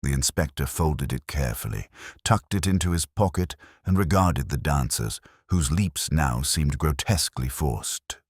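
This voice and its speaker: A man reading a scripted mystery story in a measured, wry, literary way. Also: extremely low pitch, lively intonation, husky, no room sound, clean studio sound. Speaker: narrator.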